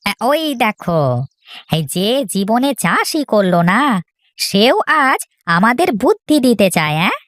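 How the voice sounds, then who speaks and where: A woman's voice: mid-range voice, big pitch swings, measured pace, fairly bright tone, dry recording, studio quality. A woman voicing an impatient, cheeky farmer brother in a children's cartoon, whining and complaining. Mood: mocking, impatient. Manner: whiny, complaining, interjects often. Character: impatient, grumbling, cheeky middle brother of farming family.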